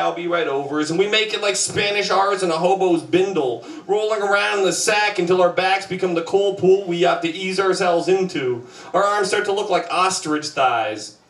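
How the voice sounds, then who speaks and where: A man's voice: high-pitched, fairly bright tone. A man in his twenties performing spoken word poetry on a small club stage, with a rhythmic, punchy delivery broken by chatty asides. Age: 20s. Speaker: performance poet. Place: small club stage with band gear behind. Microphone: stand mic, filmed from the back of the room. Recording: roomy distant live club recording.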